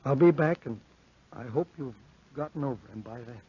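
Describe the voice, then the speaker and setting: A troubled young student played by a man speaks with earnest, strained feeling. The voice is mid-range and husky, delivered as 1940s radio melodrama on a muffled vintage recording. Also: medium pitch, moderate pace, mellow, natural voice. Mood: sad, devoted. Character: earnest, anxious young college man, bitter and lovesick. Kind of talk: 1940s radio horror acting.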